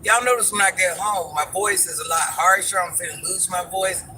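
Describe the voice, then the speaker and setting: A man's voice: sits high, moderate pace, music in the background, low-quality recording. A man hosting a casual livestream from his car after work, chatting with viewers, greeting them by name and drifting off topic. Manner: calls himself granny. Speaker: livestream host. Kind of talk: casual live chat with viewers. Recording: steady car noise behind.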